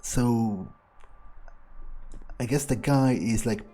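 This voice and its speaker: A man thinking aloud in character during a video game playthrough, halting and matter-of-fact, with casual swearing. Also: mid-range voice, dark tone. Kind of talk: in-character musing aloud, thinking through events.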